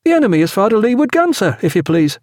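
An eager young midshipman, voiced by a man, high in pitch and full of youthful keenness. He reports formally and deferentially, as in a naval audiobook, with a dry, close sound. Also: sing-song, fast, darkish tone, no room sound. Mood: dutiful excitement.

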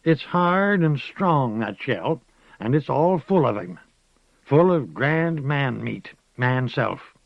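A man's voice: medium pitch, lively intonation, takes it slowly, gravelly, no echo, pitched above the performer's own voice. A man reading an audiobook, voicing an old rustic village witch woman who rambles folksily, calls people dearie and slips into chanting. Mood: folksy, knowing. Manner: rocking, chanting incantation. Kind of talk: audiobook character voice. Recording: dull, dry lo-fi recording.

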